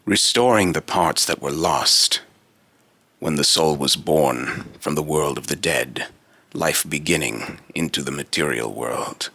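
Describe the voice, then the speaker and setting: A man voicing a solemn, otherworldly immortal being in a deep, raspy robotic voice, with grandiose, archaic, halting phrasing in a dramatic monologue. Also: sharp tone, no echo, exaggerated voice. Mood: solemn, instructive.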